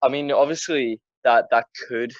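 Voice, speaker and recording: A teenage guy co-hosting a casual film podcast, with an average-pitched voice on a dull, dry recording. He talks bluntly, swears freely and stumbles over repeated words as he works out his opinion. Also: normal speed, dry recording. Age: teens.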